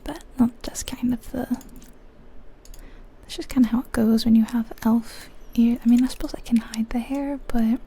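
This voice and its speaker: A woman whispering breathily in an ASMR style, musing aloud in an unhurried, soft way as she comments on a game. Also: on the high side, slight background noise. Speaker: ASMR video creator.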